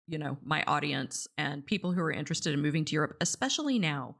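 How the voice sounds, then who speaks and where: A middle-aged woman with a notably low voice hosts a relaxed interview. She tells her stories in a chatty, wry way. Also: quick pace, creaky. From Texas, US. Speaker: podcast host. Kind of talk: casual interview chat.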